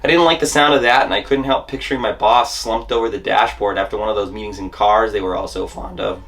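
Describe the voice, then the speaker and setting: A man's voice: lowish voice, steady tone, fast, a bit bright. A man reading a memoir as a dramatic monologue, telling the story in scripted first person with theatrical emphasis.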